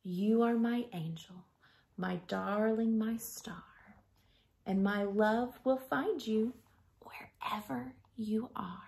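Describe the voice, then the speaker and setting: A woman in her thirties reading a picture book to children like a schoolteacher, gently and expressively, softening to a breathy hush at line ends. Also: fairly high pitch, slow, slight background noise. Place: small living room at home.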